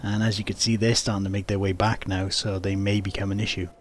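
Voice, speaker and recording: Low-voiced man explaining gameplay. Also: level tone, quick pace. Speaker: gaming video host. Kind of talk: casual game commentary, explanatory. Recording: faint background noise.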